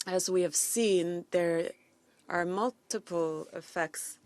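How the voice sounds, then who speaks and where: A woman giving live interpretation of a formal speech, in halting phrases with false starts. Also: fairly low pitch, a little mellow, no echo. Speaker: simultaneous interpreter.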